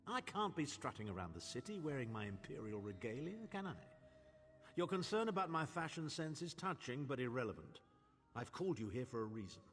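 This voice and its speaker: A man's voice: medium pitch, very animated, a little fast, fairly bright tone. A man playing a haughty older imperial chancellor in a video game, speaking in a pompous, sardonic, erudite way. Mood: dryly condescending. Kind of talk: theatrical video game dialogue. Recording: long hall reverb, faint music behind.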